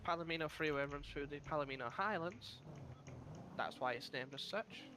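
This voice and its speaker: A man with a slightly high voice chats casually in a police roleplay, swearing as he goes. There is clear vehicle noise behind him. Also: moderate pace. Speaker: field training officer in a police roleplay. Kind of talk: casual in-character roleplay chat.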